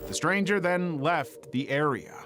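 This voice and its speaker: A man reading scripted true-crime narration in a measured, dramatic storytelling manner. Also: a little high, lively intonation, a bit bright, a little hiss or hum.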